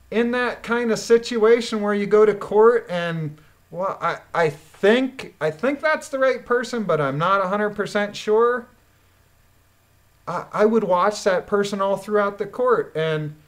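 Blunt, indignant woman commentator. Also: mid-range voice. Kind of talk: reading a court transcript aloud with critical commentary.

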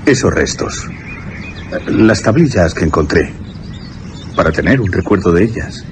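Lovestruck, deep-voiced young English gentleman. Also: measured pace, background noise. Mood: tender, curious. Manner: dreamy, expressive delivery. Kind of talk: Spanish radio drama acting. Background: music behind the voice.

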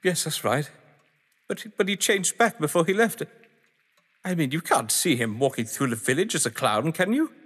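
An actor playing a proud, excitable mystery writer in an audio drama, theatrical and indignant in manner. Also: male voice, on the high side, normal speed, slightly rough, full character voice. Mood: defensive. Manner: theatrical, indignant, well-spoken. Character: proud, excitable English mystery writer, gamesman under suspicion. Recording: long hall-like reverb.

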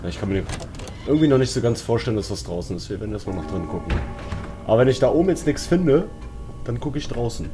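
A man's voice: medium pitch, animated, fast. A man giving casual, slangy horror game commentary, muttering to himself as he plays. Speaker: let's play streamer. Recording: eerie game music behind voice. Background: horror game music and sounds.

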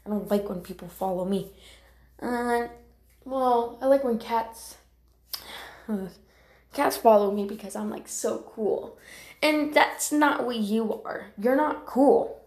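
A woman's voice: fairly high pitch, takes it slowly, long pauses, darkish tone. A woman voicing a cocky, boastful young warrior cat in amateur character voice lines, with a dramatic, teasing manner. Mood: smug, irritated. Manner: bragging, taunting, dramatic. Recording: roomy home recording.

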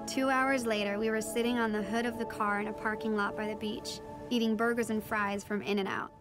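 A woman's voice: a little high, flat delivery, a little fast, music in the background, lightly acted. A young woman playing an earnest teenage daughter, narrating a first-person audio drama in a reflective way. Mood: warm, hopeful. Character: teenage daughter of a marine biologist, earnest storyteller. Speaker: narrator and lead character.

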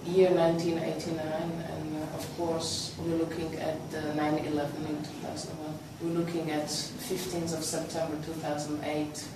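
A woman's voice: low-pitched, completely flat delivery, moderate pace, few pauses. Woman interviewer in an echoey room. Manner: hesitant, with frequent ums. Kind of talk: conversational interview questions. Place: meeting room, indoors. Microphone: far camera mic. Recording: echoey room, distant mic, background noise.

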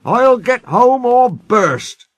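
A brave old steam engine from a children's storybook, played by a man. He is stern and emphatic, with a raised, swinging pitch and slow, deliberate words. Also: very animated, dry recording, studio quality, exaggerated voice. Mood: pleading, determined. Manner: firm, emphatic scolding and pleading.

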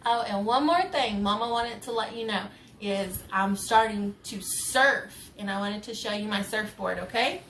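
A woman in her thirties reading a picture book aloud as a mother, pausing to explain it simply to a young child. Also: medium pitch, fairly bright tone, slight background noise. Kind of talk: storybook read-aloud. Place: small bedroom, indoors.

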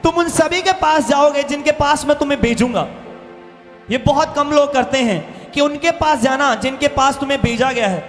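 A man's voice: extremely high pitch, fairly bright tone. A man preaching an impassioned, emphatic sermon into a handheld microphone, repeating phrases for weight. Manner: emphatic, repeats phrases, slows for quoted verses. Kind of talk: impassioned sermon mixing Hindi and English. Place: church hall stage. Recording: reverberant hall PA with music behind. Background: music.

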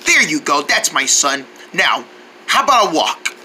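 Man playing a gently scolding cartoon mom, slow-paced. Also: a little high, sing-song, slight background noise, voice pushed down, heavily acted. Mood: reassuring. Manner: soothing, chiding.

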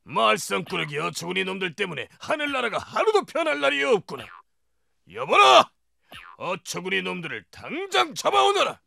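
A pompous old king of the heavens, as a woman puts him on, booming his orders with a gravelly edge. His voice leaps wildly up and down, with a bright, pushed tone, slow and deliberate, close and dry. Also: wildly swooping pitch, dry recording, full character voice. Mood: commanding.

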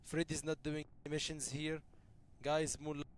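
A man's voice: fairly high pitch, level tone, normal speed, clear, resonant tone. A man trolling other players in a virtual reality game voice chat, bossy and teasing, talking through a headset mic. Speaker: player and channel host.

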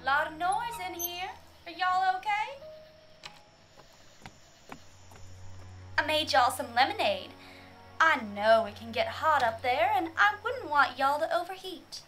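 A woman's voice: sits high, moderate pace, sharp tone, soft background music. A woman playing a folksy, nosy small-town Southern woman who chats away in a radio drama. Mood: folksy hospitality. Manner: folksy, chatty.

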